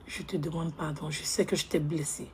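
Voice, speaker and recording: A woman playing a remorseful young woman who begs her ex on the phone. She speaks softly and pleads, often close to a whisper. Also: low-pitched, fairly even intonation, brisk, slight background noise, lightly acted. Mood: pleading. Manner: soft, hushed, pleading, often near a whisper. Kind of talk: comedy skit monologue.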